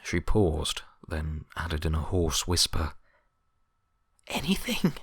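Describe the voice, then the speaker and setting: A man's voice: very deep voice, level tone, slow, fairly bright tone, slightly rough, dry recording, clean studio sound. A man reading scripted fiction as a dramatic story narrator.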